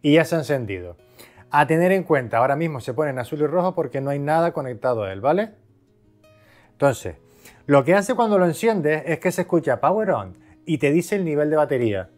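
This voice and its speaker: A man's voice: fairly high pitch, big pitch swings, brisk, a little mellow, faint music behind. A man from Spain giving a casual, conversational product review, explaining things by asking and answering his own questions. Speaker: video host, product reviewer.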